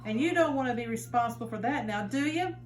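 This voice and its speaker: Woman doing a gruff barking security guard. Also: on the high side, animated, quick pace, slight background noise, close to an everyday voice. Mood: calm threatening. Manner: barking, yelling threats. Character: gruff menacing male security guard, adult. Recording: roomy, distant, faint music behind.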